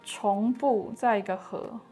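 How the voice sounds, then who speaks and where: A young woman from Taiwan, co-hosting a relaxed, chatty language lesson and often chiming in with quick affirmations. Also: mid-range voice, faint music behind. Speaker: co-host teaching Taiwanese words. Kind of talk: casual bilingual lesson chat.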